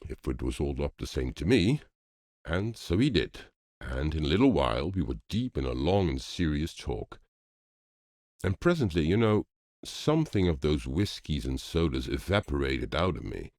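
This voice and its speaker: A man narrating a classic ghost story as an audiobook, in an expressive storytelling manner. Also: sits low, no echo, clean studio sound.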